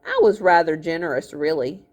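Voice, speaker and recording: A woman's voice: low-pitched, normal speed, a little mellow. A woman voicing a greedy, fat older miller in a storybook, a smug villain with a falsely genteel, gloating manner. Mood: smug, mocking.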